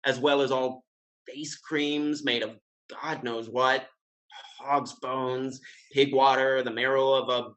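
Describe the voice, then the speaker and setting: A man's voice: medium pitch, little pitch movement, slow pace, fairly bright tone, low-quality recording. A man playing a witty playboy suitor in a Restoration comedy, speaking in a wry, mock-formal, declamatory way. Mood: mock-formal, declaiming. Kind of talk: Restoration comedy stage dialogue.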